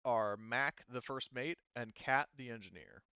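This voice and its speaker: A man's voice: medium pitch, a little slow, sharp tone, smooth, no echo, highs cut off. A man improvising narration as game master in a casual, rambling, hesitant way.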